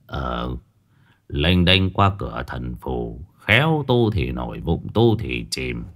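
A man voicing an old neighbor man who chants slowly in a low drawn-out tone. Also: big pitch swings, fairly bright tone, exaggerated voice. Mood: wry.

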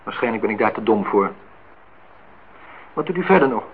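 A man's voice: lowish voice, animated, normal speed, phone-quality sound. An actor playing a guarded, prickly, self-deprecating man in a naturalistic radio drama, on a noisy old recording. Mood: disapproving. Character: reserved, prickly, self-deprecating male amateur painter.

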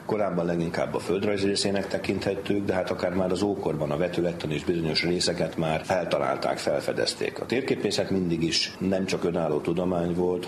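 Deep-voiced man explaining over background noise. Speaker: university department head being interviewed. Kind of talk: explanatory interview talk. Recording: noisy ambient background.

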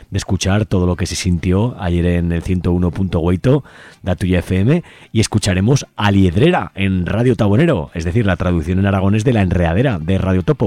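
A man's voice: deep voice, warm tone, dry recording. A man with an accent from Spain hosting a live community radio show in an informal, chatty way. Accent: Spanish from Spain. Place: radio studio. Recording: music playing under the voice. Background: music.

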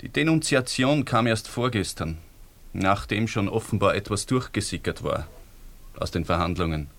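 A melancholy, ironic Austrian lover in a radio drama. His voice is fairly low. He phrases everything dryly and with educated care. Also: lively intonation, moderate pace, fairly bright tone. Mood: dry, uneasy. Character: ironic, melancholy man in danger, hopelessly in love.

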